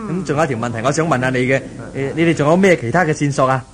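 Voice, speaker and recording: An actor playing a persistent, polite news reporter with a Hong Kong Cantonese accent, pleading and inquisitive, in a 1970s radio drama. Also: male voice, mid-range voice, racing, lightly acted.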